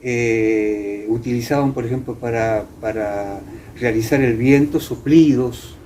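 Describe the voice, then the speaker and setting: An older man with an Argentine accent from Entre Ríos, reminiscing in a conversational interview, haltingly searching for words and repeating himself. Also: mid-range voice, warm tone, a little hiss or hum. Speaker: interviewee, former radio theatre actor.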